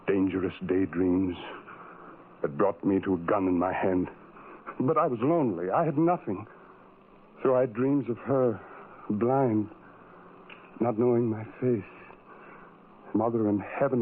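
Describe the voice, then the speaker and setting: An actor playing a lonely, tormented man in a radio horror drama, with a brooding, halting, intense confessional delivery. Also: lowish voice, slight background noise. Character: lonely, tormented, self-loathing man who hides from people. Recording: tinny old radio recording, music behind.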